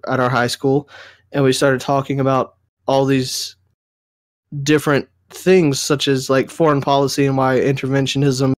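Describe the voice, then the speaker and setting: A man's voice: medium pitch, smooth, no echo, clean studio sound. Young man, nasal from a cold. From Southern United States. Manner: rambling, self-correcting storytelling. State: recovering from a cold, a bit nasal. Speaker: podcast co-host. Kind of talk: casual chat.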